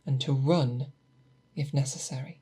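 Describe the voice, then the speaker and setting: A woman playing a seasoned, grave and protective female monster hunter in a slow, hushed, breathy ASMR roleplay, close to the microphone. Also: extremely low pitch, steady tone, full character voice. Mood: earnest, protective. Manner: slow, deliberate, hushed, with pauses. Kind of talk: ASMR roleplay, close soft speech and whispers. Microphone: close binaural microphone.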